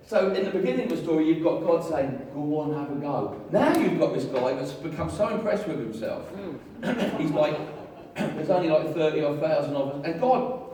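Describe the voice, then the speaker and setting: A man preaching a lively, jokey sermon, telling a story with voices and getting the congregation to join in. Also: on the high side, slight background noise. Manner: jokey, animated storytelling with audience participation. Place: large hall with an audience. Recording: echoey hall, far from mic, crowd.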